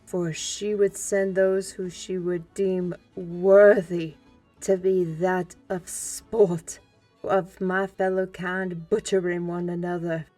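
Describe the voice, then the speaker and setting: A woman playing an earnest former slave turned scholar in an audio drama, telling a life story in a grave, deliberate way. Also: medium pitch, warm tone, lightly acted.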